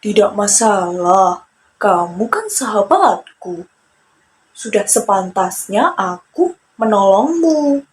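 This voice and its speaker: Patient storybook turtle, read by a woman, unhurried and earnest, faint noise behind. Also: medium pitch, big pitch swings. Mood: kindly resigned. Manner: earnest, gently scolding. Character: patient, careful, kindly male turtle friend. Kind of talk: children's fable storytelling.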